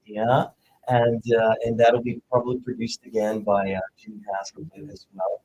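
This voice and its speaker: A man's voice: medium pitch, steady tone, moderate pace, a little mellow, slight background noise, rough recording. A man from Puerto Rico, a jazz guitarist chatting as a guest in an interview, easygoing and rambling. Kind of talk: casual interview chat.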